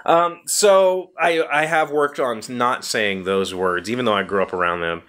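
A man's voice: medium pitch, measured pace, a bit bright. A man in his forties with an American accent, chatting casually and in a rambling, self-deprecating way as the host of a video show. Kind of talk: casual chat to viewers. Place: small indoor workshop crowded with tools.

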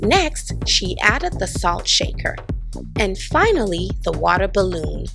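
A woman giving an expressive children's storybook read-aloud, putting on voices for the characters. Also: medium pitch, slow, vocal fry, music in the background. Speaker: storybook reader.

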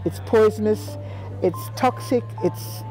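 Older Australian woman, mellow voice. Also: medium pitch, takes it slowly, faint music behind, rough recording. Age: 70s. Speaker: community elder interviewee. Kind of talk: reflective interview storytelling. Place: outdoors on a planted patio. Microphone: lapel mic.

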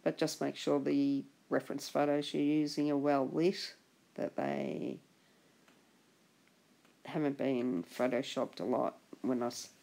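Husky, gravelly woman teaching drawing. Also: rumbling low, a little slow, mellow. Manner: chatty, encouraging, trails off and restarts. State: husky from a chest infection. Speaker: art instructor. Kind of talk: relaxed drawing tutorial voice-over.

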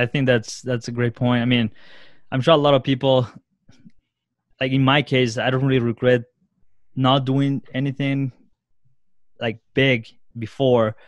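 A man's voice: medium pitch, no room sound. A young man hosting a casual interview, with hesitant, rambling phrasing. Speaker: podcast host.

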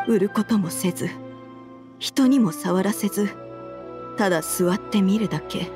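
A woman playing an earnest, troubled wife and mother in a video game, speaking politely and with measured care. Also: mid-range voice, over music, lightly acted. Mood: troubled, recounting.

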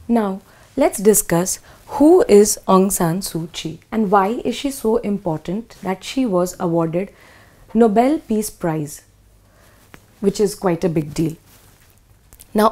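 A woman in her thirties with an Indian English accent, clearly and methodically explaining a school English lesson. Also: mid-range voice, mellow. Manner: clear, methodical, spells words out. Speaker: English teacher. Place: small studio in front of a whiteboard.

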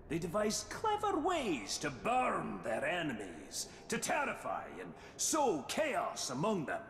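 An actor playing a roguish artifact expert in a video game, narrating in a wry, droll, theatrical way with grisly relish. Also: male voice, on the high side, a bit bright, slight background noise, heavily acted. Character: roguish, wry male artifact expert explaining ancient weapons. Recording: echoey hall with long reverb.

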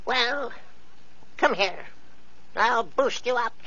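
A woman's voice: on the high side, slow pace, sharp tone, dull audio, slight background noise. An actress playing a scheming, bossy mother in an old radio play, bossing her daughters about. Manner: bossy, fawning to royalty. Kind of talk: 1940s radio acting.

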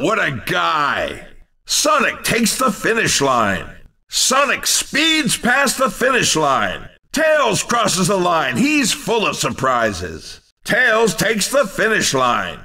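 Upbeat video game race commentator: wildly animated, forceful, exclamatory, slightly off-mic. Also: male voice, high-pitched, slow, distant mic, exaggerated voice. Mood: excited. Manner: exclamatory, punchy announcer delivery. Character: excitable, upbeat male racing game commentator.

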